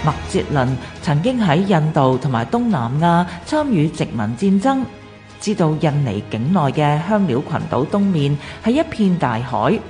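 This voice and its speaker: A woman's voice: deep voice, very animated, moderate pace, dark tone. A woman with a Hong Kong Cantonese accent reading scripted historical documentary narration for radio. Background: music.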